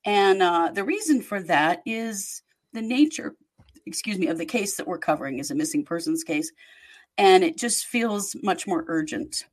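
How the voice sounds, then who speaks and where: Woman, podcast host, casual chat, slightly low pitch, laughs often. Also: animated, moderate pace.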